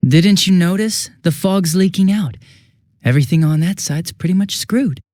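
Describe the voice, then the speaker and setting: An actor playing a smug, mocking young detective turned murderous villain in a video game, swinging theatrically between bored drawl and giddy taunts. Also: male voice, sits high, normal speed, a little mellow, no echo, studio quality, natural voice. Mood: smugly menacing.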